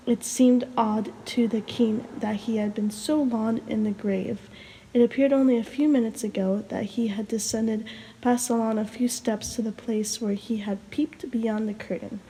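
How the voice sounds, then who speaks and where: A young woman storyteller who sounds sick, tired and hoarse, reading a story aloud and chatting casually. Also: on the high side, dark tone, a little hiss or hum, poor audio. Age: young adult. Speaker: storyteller, video host.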